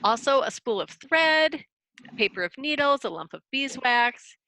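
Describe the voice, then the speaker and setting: A woman plays a proud royal wizard with a bright, crackly voice. The delivery is grand and declamatory, as in a staged storybook reading, and quick to defend past feats. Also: sits high, animated, no echo, full character voice. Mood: boastful. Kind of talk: readers theater storybook reading.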